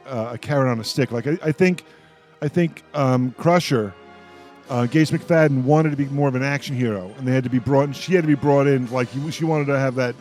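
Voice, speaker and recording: A man with a mid-range voice hosts a casual radio discussion. He speaks in a halting, opinionated way, often repeating words as he works toward his point. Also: mid-range voice, darkish tone. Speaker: roundtable host on a radio show.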